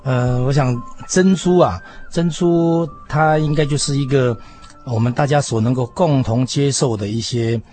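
A man with a Taiwanese Mandarin accent explains things conversationally on the radio, haltingly, repeating phrases and trailing off with particles. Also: mid-range voice, a little mellow. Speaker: interview guest commentator. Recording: music behind the voice. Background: background music.

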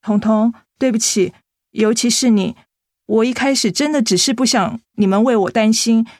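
An actress playing a gentle, guilt-ridden young woman in a Mandarin radio drama, apologetic and hesitant, with a Taiwanese accent. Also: a little high, moderate pace, no echo, clean studio sound, natural voice. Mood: apologetic, pained.

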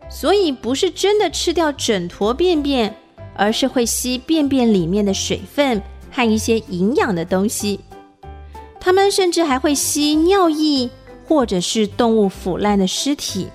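A woman hosting a children's nature story show, animated and putting questions to young listeners. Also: sits high.

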